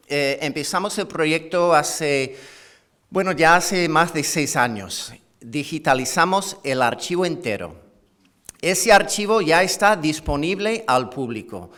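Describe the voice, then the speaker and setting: Slow hesitant professor with Canadian-Andalusian accent. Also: male voice, medium pitch, fairly bright tone, clear, resonant tone. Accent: English-Canadian with Andalusian Spanish touches. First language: English. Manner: hesitant, many "eh" fillers and restarts, self-deprecating humor. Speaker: university professor giving a conference talk. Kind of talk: academic conference lecture.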